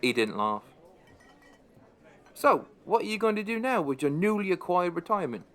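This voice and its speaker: A man's voice: high voice, big pitch swings, moderate pace, a bit bright. A man playing a middle-aged pub landlord who narrates a radio play in a dry, understated, wry way. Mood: dry, amused.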